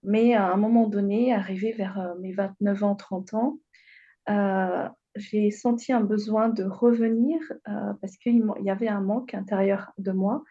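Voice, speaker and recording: A woman's voice: mid-range voice, fairly even intonation, a little slow, dull audio. A woman in her thirties from Réunion, telling her personal story as a radio guest, hesitant with many fillers. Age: 30s.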